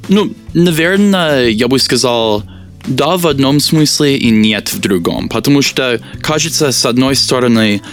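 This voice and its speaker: An American man, a teacher whose first language is English, answering interview questions in a halting way with many fillers. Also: medium pitch, expressive, faint music behind. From United States. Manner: hesitant, many fillers, searches for words. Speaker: guest, teacher.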